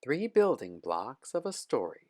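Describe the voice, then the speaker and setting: A man in his thirties teaching children and telling stories in a theatrical, clearly enunciated way. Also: medium pitch, measured pace. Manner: animated, theatrical, clearly enunciated, sing-song chants. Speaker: teaching artist and presenter. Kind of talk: children's lesson, storytelling and instruction. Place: bedroom at home, indoors.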